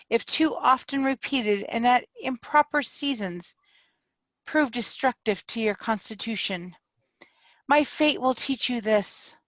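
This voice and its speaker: A woman's voice: fairly high pitch, no echo, natural voice. A woman playing a melodramatic dying young woman. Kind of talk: amateur dramatic reading over video call. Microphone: video call. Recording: dull lo-fi video call audio.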